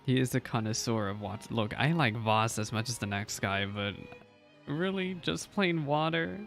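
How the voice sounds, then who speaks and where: A man's voice: medium pitch, moderate pace, creaky, a bit bright, soft background music. A man doing casual live reaction commentary as a game streamer, chatty, exclamatory and self-deprecating.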